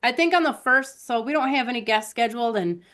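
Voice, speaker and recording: A woman's voice: a little high, lively intonation, a little fast, a bit bright. A woman co-hosting a live stream with casual, rambling and self-deprecating chat. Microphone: online live stream.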